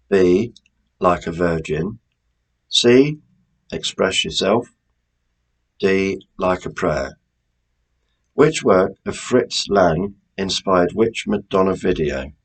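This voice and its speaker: A man hosting a quiz, reading out questions and answers in a deliberate, measured way with informal asides. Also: sits low, husky, rough recording. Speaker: quiz host.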